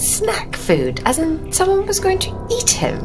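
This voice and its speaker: A woman's voice: very high voice, huge pitch swings, unhurried, over music, voice pushed up, exaggerated voice. A woman playing a flustered, hesitant genteel madam who stammers and exclaims in dismay, in a tabletop roleplaying game. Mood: aghast. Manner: stammering, theatrically aghast exclamations.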